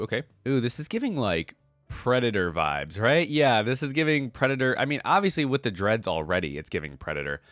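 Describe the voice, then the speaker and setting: A man gives casual reaction commentary as a video host, thinking aloud in an informal way. His pitch moves around a lot, swinging up and down through his phrases. The sound is close and dry, with little room in it. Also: fairly bright tone, no echo.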